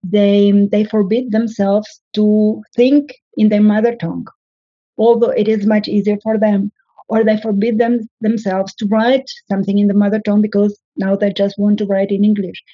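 A woman, a writing coach giving measured, instructive advice in an interview. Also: medium pitch, little pitch movement, slightly rough, no room sound, lo-fi sound. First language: French. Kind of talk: interview answers, advising.

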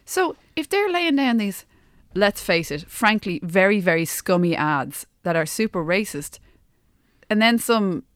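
An Irish woman co-hosting a podcast, talking casually and emphatically, often halting and repeating words as she argues her point. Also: mid-range voice, lively intonation, no room sound, clean studio sound. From Ireland. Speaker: podcast co-host. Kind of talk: casual opinionated discussion.

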